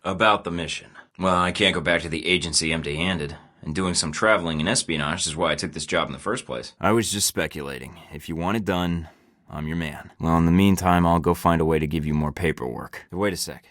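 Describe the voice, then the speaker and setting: A man's voice: deep voice, fairly quick, a bit bright, no echo. An actor with an American accent playing a sarcastic young male spy agent in a video game, trading dry, wisecracking quips. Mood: wry.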